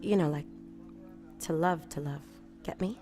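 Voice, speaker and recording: An actress playing a flirtatious, mysterious and menacing femme fatale in a noir audio drama, teasing in clipped, coy phrases. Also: female voice, sits low, unhurried, over music. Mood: darkly probing.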